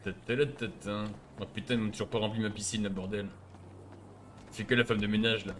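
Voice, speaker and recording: A man's voice: fairly low pitch, level tone, fairly quick, background noise. A man improvising casual game roleplay as a crime boss, bossy and slangy, with swearing.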